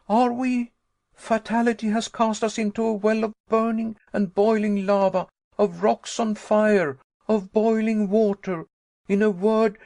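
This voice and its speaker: A man reading an audiobook, voicing the narrator's terrified young self, who cries out in shrill panic. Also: a little mellow, dry recording, studio quality.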